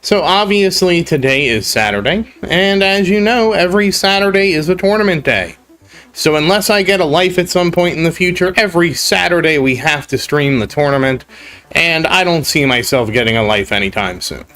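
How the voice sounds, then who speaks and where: A man's voice: fairly high pitch, animated, normal speed, a bit bright. A young man with a cold and a congested voice, rambling and grumbling on a casual game live stream. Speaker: gaming streamer.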